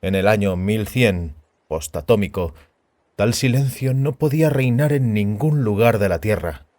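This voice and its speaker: A man narrating an audio story in a scripted, dramatic style with a measured, solemn storyteller's delivery. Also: fairly low pitch, animated, a little mellow, no room sound, studio quality. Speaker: narrator and host of an audio fiction show. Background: background music.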